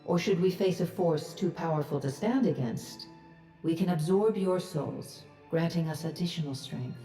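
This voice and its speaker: A low, mellow female deity of death voiced by an actress in a fantasy game. She speaks with chilly, measured gravity, sounding distant and echoing. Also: natural voice. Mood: cold, grave. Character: cold, grave goddess of death. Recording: distant, echoing voice over faint music.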